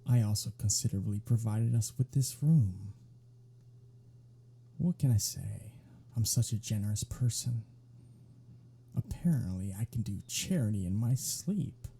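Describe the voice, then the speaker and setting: A man's voice: on the low side, animated, unhurried, creaky, long pauses, warm tone, breathy edge, heavily acted. A man playing a smug, centuries-old vampire in an intimate ASMR roleplay, teasing with a sarcastic drawl. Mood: dry sarcasm.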